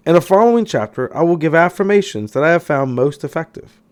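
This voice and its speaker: A man's voice: a little high, lively intonation, fast talker, darkish tone. A man reading a self-help audiobook in an emphatic way, now and then stumbling over a word. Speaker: audiobook reader. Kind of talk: scripted audiobook narration of a self-help text.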